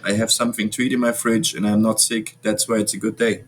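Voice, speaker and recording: German-accented man, lowish voice, blunt, swears a lot, faint background noise. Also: fast. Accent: German, with Venezuelan Spanish touches. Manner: blunt and animated, swears freely. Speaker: podcast guest, bar owner. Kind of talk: casual interview chat.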